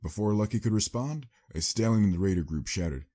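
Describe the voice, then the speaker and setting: A man's voice: on the low side, lively intonation, a little fast, dark tone. A man giving a dramatic scripted narration of a story.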